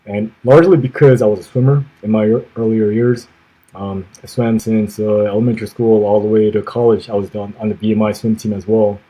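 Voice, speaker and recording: A man, answering interview questions in a measured way, pausing often on "uh" and letting phrases trail off quietly. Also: fairly low pitch, fairly even intonation, husky, low-quality recording. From grew up in the United States. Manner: measured, many "uh" pauses, trailing off softly at phrase ends. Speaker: podcast guest, former navy officer.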